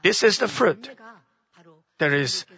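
A hoarse man preaching to a congregation, halting and restarting often. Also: sits high, very animated, bright, forceful tone, dull audio. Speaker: church speaker. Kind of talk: sermon-style teaching.

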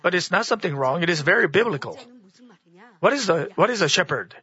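A hoarse man preaching to a congregation, halting and restarting often. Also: high-pitched, expressive, sharp tone, dull audio. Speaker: church speaker. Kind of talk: sermon-style teaching.

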